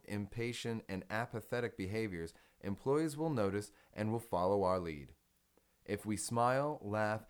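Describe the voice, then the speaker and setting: A man reading a business article aloud as scripted narration, in a measured, instructional manner. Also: lowish voice.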